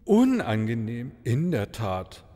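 A man playing a slow, flowery maharaja in a hall. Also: mid-range voice, huge pitch swings, mellow, soft background music, full character voice. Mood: regretful, dignified. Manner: formal, flowery, euphemistic. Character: courteous travelling maharaja, embarrassed, ornate and dignified. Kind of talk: fairy-tale audio comedy acting. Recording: long reverberant hall sound.

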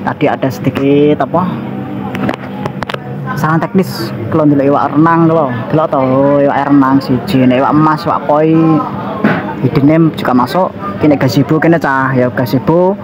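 A man's voice: a little high, fairly even intonation, normal speed. Young man vlogging outdoors. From Indonesia. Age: young adult. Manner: loose, rambling, mixes in local slang. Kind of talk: casual vlog chat. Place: outdoor cafe terrace with bamboo blinds. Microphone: handheld phone camera held close. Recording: muffled phone audio, loud outdoor traffic.

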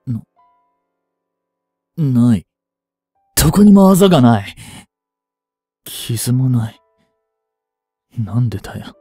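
Guy playing jealous clingy young stalker dude, soft n brooding voice drama, slow talker, super close dry mic. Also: fairly high pitch, dry recording, full character voice. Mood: agitated, jealous. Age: 20s. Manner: soft, coaxing, brooding delivery with drawn-out pauses. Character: obsessive, jealous young male stalker, lonely and unstable. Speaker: voice actor. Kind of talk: intimate Japanese voice drama, addressed to the listener.

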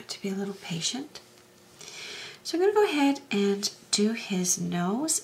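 A woman hosting a craft tutorial, talking through each step in a soft, unhurried way, often dropping to a half whisper, her voice a little hoarse from allergies. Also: mid-range voice, slight background noise, poor audio. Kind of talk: casual step-by-step demonstration.

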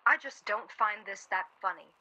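An actress playing a sharp-tongued, worried young wife in a 1940s radio play, snippy and sardonic. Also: female voice, mid-range voice, lively intonation, moderate pace, poor audio. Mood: wry.

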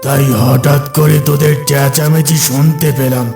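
A man voicing a calm, fatherly wandering tantric holy man in a cartoon, counselling in a solemn manner. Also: a little high, moderate pace, vocal fry, roomy sound, music in the background. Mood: kindly, advising. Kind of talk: cartoon voice acting.

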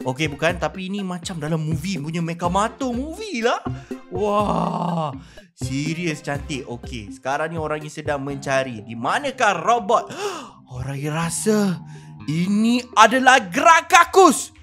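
A man with a Malaysian accent hosting a gaming video, giving excited, theatrical and repetitive role-play commentary on his play. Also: fairly high pitch, measured pace, music in the background.

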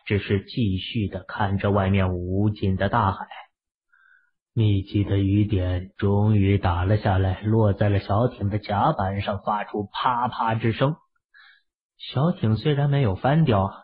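A man narrates an audio drama with steady storytelling pacing. His voice is low-pitched, husky and breathy. The recording sounds muffled and lo-fi.

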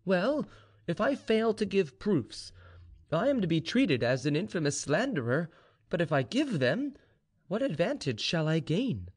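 A man voicing a sly, obsequious serf informer who is quietly confident, deferential and insistent, in a storybook tale. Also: sits high, big pitch swings, a little slow, slightly rough, voice pushed up, full character voice.

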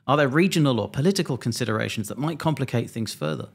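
A man around forty, an airline pilot, presenting a scripted explainer in a measured, methodical, slightly dry way, close to a desk microphone. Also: mid-range voice, lively intonation, vocal fry, slightly rough. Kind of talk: scripted explainer narration to camera. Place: small home studio indoors.

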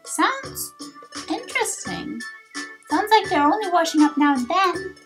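A woman's voice: very high voice, sing-song, unhurried, pitched above the performer's own voice, exaggerated voice. A woman voicing an eager, curious young creature sidekick from a video game. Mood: curious, keen. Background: game music.

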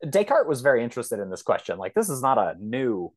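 A man's voice: medium pitch, big pitch swings, fast talker. A man speaking as a podcast guest, casual and thoughtful, exploring ideas tentatively and often rephrasing. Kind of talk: casual, thoughtful interview talk.

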